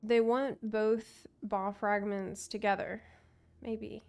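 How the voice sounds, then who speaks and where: A woman's voice: mid-range voice, little pitch movement, takes it slowly, a little mellow. A woman game streamer giving live commentary, thinking aloud and reacting to what happens, with game music behind her.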